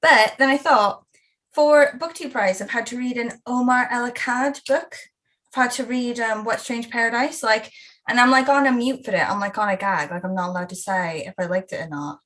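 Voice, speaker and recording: A woman's voice: fairly high pitch, moderate pace, a bit bright. A young woman in casual book chat, gushing and peppering her speech with "like". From Wales. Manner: gushing, lots of "like" fillers. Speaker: booktuber co-host.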